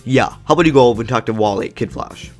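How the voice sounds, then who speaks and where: A man's voice: mid-range voice, very fast, darkish tone, soft background music. Man narrating an amateur audio drama.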